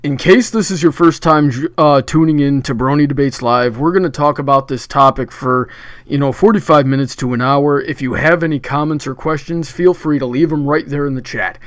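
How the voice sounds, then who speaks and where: A man's voice: fairly high pitch, normal speed, dry recording, studio quality. Upbeat man hosting a debate stream. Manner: chatty and upbeat, frequent "uh" restarts. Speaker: debate livestream host. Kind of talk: casual hosting chat.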